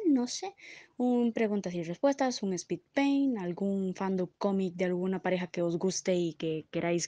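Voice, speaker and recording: A woman's voice: mid-range voice, dark tone, dry recording, dull audio. A woman hosting her channel, chatting casually to viewers. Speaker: channel host.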